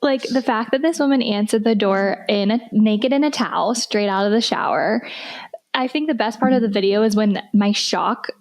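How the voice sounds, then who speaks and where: A woman's voice: medium pitch, moderate pace. A young woman co-hosting a podcast, chatting casually and laughing often, with many "like" fillers. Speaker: podcast co-host. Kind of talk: casual chat.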